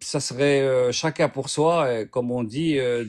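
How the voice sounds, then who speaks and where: A man from northern Morocco calling into a debate, talking haltingly with many restarts while he searches for words. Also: mid-range voice, fairly even intonation, darkish tone. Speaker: caller in a debate. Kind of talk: hesitant casual argument.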